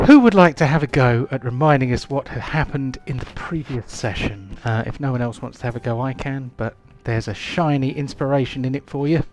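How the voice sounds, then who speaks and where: Male GM, casual host patter, hesitant and rambling, average pitch. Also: brisk, hardly any pauses, a little mellow, soft background music, poor audio. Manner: rambling, chatty, many ums and restarts. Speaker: game master. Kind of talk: live-play tabletop game intro.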